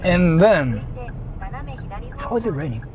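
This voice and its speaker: A man's voice: a little high, big pitch swings, takes it slowly, a little mellow. A man with a Japanese accent, a native Japanese speaker, chatting casually and in a rambling, repetitive way as he livestreams from his car. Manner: rambling, repeats himself, crude joking. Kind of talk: casual livestream chat, reading donations. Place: inside a car while driving. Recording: noisy moving car interior.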